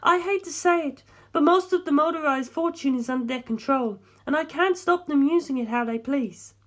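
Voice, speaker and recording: A narrator doing an anxious, high-voiced young woman. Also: moderate pace, fairly bright tone. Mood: anxious, helpless. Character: anxious, tearful, well-bred young woman. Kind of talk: audiobook character dialogue.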